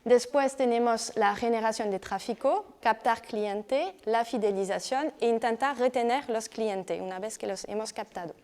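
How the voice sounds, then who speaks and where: A woman from France speaks with a French accent, explaining her talk step by step to a room of listeners. Her voice sits a little high and comes across smooth and clear. She pauses on small hesitations and keeps checking that everyone follows. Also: a little mellow. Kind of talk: conference talk.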